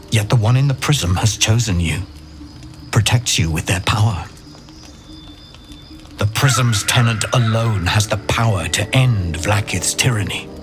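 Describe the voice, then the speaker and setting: Grave alien rebel knight, unhurried, formal, fantasy game drama, music underneath. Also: male voice, on the low side. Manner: solemn, deliberate, formal. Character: grave githyanki knight, a secret rebel against his queen.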